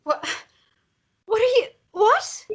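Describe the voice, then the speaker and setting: A woman's voice: very high-pitched, big pitch swings, slow pace, heavily acted. A woman acting a hesitant, emotionally fragile woman in love in a drama script read. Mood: upset, overwhelmed.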